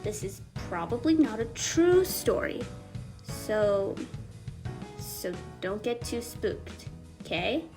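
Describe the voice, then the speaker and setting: A woman's voice: mid-range voice, very animated, slow pace, over music. A woman playing a teasing bar storyteller who narrates a spooky Halloween tale in a theatrical, suspenseful manner. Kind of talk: dramatic ghost-story narration.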